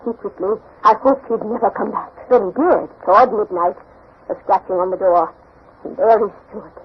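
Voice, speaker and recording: A woman's voice: mid-range voice, moderate pace, a little hiss or hum, natural voice. An actress playing an anxious, brooding old woman who narrates a ghostly tale in solemn 1940s radio drama style. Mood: fearful, urgent. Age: older. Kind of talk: 1940s radio drama acting, haunted first-person narration. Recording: dull, muffled 1940s radio recording.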